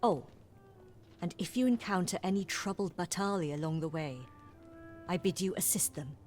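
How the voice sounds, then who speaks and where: A woman's voice: deep voice, close to an everyday voice. Woman acting a formal fantasy-game female officer. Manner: formal, archaic, measured speech. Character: composed, courteous woman officer serving an empress. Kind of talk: fantasy video game dialogue.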